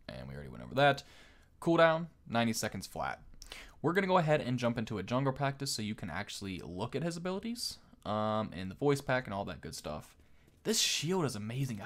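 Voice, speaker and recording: A man hosting a gaming video with casual, off-the-cuff gameplay commentary. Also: medium pitch.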